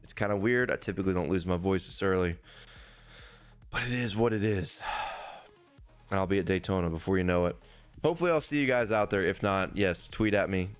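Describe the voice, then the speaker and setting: A hoarse man, a young adult, hosting a casual sports-betting preview and swearing freely in a loose, rambling way. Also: low voice, fairly quick, long pauses, faint music behind. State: hoarse, losing his voice. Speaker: sports betting podcast host.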